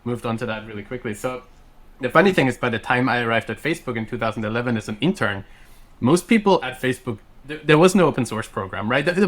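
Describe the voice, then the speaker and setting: A man's voice: lowish voice, fairly bright tone. A man in his thirties from Austria, a native German speaker, chatting as a podcast guest in a rambling way with lots of fillers and restarts. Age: 30s. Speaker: podcast guest, software engineer. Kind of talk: casual chat.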